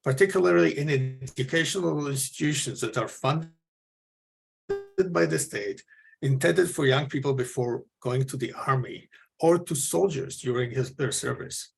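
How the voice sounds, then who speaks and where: Guy hosting a panel, gravelly creaky voice, lots of ums. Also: mid-range voice, moderate pace. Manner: earnest, with frequent fillers and restarts. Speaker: forum moderator. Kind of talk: moderator's introduction.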